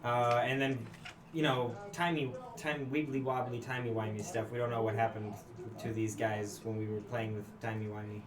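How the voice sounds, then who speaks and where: A man's voice: medium pitch, little pitch movement, normal speed. Easygoing man over crowd noise. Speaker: player. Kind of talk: casual tabletop chat.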